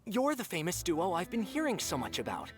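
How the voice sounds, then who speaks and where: A woman's voice: on the low side, wildly swooping pitch, a little fast, music in the background. A woman voicing a chatty young female spy in a game.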